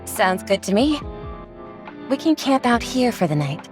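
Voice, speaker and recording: Calm, easygoing game squadmate. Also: female voice, on the high side, unhurried, over music. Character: calm, easygoing young female squadmate, kindly and reassuring. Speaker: video game voice actor. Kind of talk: voiced game story dialogue.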